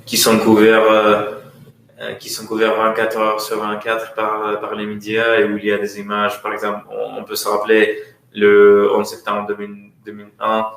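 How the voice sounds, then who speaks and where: A man from Russia with a Russian accent speaks French as a guest economist at a conference, often pausing to search for words. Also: on the low side, monotone, creaky, a bit bright. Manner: hesitant, searching for words, many fillers and restarts. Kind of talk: conference talk. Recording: very echoey room.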